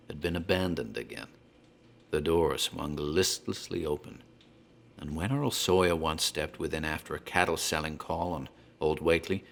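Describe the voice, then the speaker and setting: A man narrating a gothic horror tale in a measured, formal, dramatic literary style. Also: low voice, gravelly.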